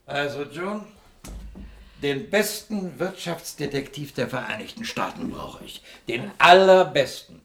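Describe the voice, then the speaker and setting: An actor playing a bossy, self-important investment tycoon in a German radio play, excitable, indignant and emphatic. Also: male voice, a little high, measured pace, vocal fry, echoey room. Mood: indignant, blustering. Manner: excitable, emphatic, repeating himself.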